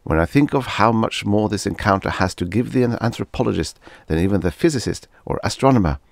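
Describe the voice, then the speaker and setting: Man voicing an excitable, pompous professor, widely swooping pitch. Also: brisk, vocal fry, no echo. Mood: enthusiastic. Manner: exclamatory, wondering, pompous. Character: excitable, pompous middle-aged male professor, rhapsodizing. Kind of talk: storybook character reading.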